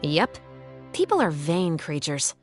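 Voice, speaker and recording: An actress playing a dry, confident police captain in a video game, with a measured, matter-of-fact, wry delivery. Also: female voice, low voice, very animated, exaggerated voice. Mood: dry, explanatory. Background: game music.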